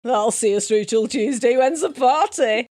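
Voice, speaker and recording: A woman's voice: on the high side, moderate pace, mellow, close to an everyday voice. A woman playing a bawdy, cheeky woman with many old flings, in a comic audio drama, earthy and teasing. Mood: playful.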